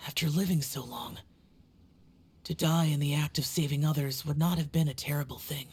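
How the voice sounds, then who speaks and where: A woman voicing a solemn young male warrior guardian in a video game, speaking in a grave, measured, weary way. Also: sits low, darkish tone, husky. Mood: brooding.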